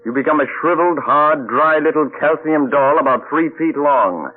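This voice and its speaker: Menacing calm mad scientist played by a man, measured delivery, tinny old radio broadcast. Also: a little high, lightly acted. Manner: cool, measured, quietly threatening. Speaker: villain inventor. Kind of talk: 1940s radio acting.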